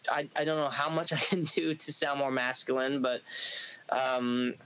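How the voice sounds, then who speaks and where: A man's voice: fairly even intonation, moderate pace, fairly bright tone, low-quality recording. A man, a band singer on a podcast, chatting casually with lots of restarts and easy laughter. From New York. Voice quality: light, high singing voice described as feminine. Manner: rambling with many restarts, laughs easily.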